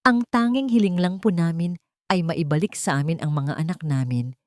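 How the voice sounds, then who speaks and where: Woman voicing a scheming, tearful rich mother, low-pitched. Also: normal speed, darkish tone, no room sound, studio quality, close to an everyday voice. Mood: pleading accusation. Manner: pleading, tearful show of sorrow. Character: scheming wealthy mother playing tearful and grieving.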